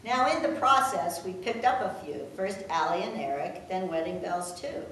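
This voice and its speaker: An older woman reading a rhyming tribute poem aloud with a measured, sing-song delivery. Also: on the low side, lively intonation, fairly bright tone. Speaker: family member reading her own poem. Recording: echoey room, distant mic, faint noise.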